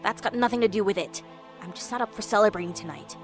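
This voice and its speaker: A woman's voice: medium pitch, fast talker, soft background music, close to an everyday voice. A woman playing a stubborn, weary pegasus mother in a fantasy audio drama, curt and dismissive. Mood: exasperated.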